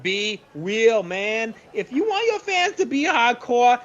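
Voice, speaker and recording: A man playing an excitable, slangy wrestling promoter in a comedic audio play, speaking in emphatic, halting bursts over slightly dull audio. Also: very high voice, full character voice. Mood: fired up.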